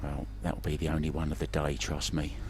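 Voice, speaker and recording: A man's voice: very deep voice, completely flat delivery, fairly quick, background noise. A man doing chatty, self-deprecating live pool commentary in a conversational way. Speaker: sports commentator. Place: remote commentary from his own location.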